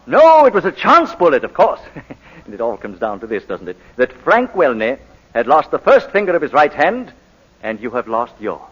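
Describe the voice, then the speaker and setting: An actor playing a suave, relentless courtroom barrister in 1940s radio style, politely needling with hesitant pauses before barbed questions. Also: male voice, mid-range voice, huge pitch swings, a bit bright, husky, full character voice. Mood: sardonic. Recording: muffled old 1940s radio broadcast.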